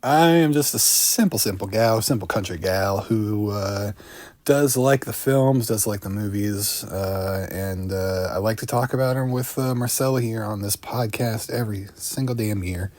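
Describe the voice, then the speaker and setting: A man co-hosting a casual film podcast, with dry jokes and lines that trail off quietly. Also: fairly low pitch, moderate pace, dry recording. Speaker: podcast co-host.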